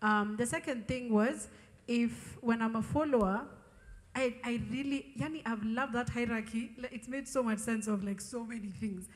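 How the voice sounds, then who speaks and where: High-pitched woman in a reverberant hall. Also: moderate pace. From Ethiopian raised in Kenya. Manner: candid, conversational, emphatic. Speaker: church worship leader sharing testimony. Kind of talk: informal testimony to a congregation. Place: large open-sided tent gathering. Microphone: handheld microphone.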